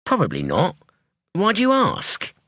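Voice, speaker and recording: Casting: narrator doing a terse, evasive middle-aged father in an audiobook, lifted and bright, dry close sound. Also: sits high, wildly swooping pitch, measured pace, no echo, voice pushed up, full character voice. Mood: guarded. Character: guarded middle-aged father, crime boss. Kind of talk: audiobook dialogue.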